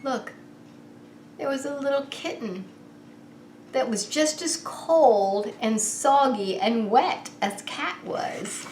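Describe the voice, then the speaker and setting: A woman reading a picture book aloud to young children as a preschool story time host, expressive and unhurried, drawing the listeners in. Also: high-pitched. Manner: animated, unhurried, asks the child listeners questions. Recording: roomy echo with faint background noise.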